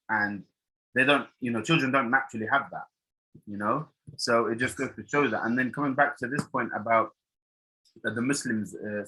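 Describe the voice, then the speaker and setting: A man hosting a podcast who responds earnestly and reflectively, sometimes pausing to search for words. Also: medium pitch, sharp tone, low-quality recording. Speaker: podcast host. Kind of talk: conversational reflection.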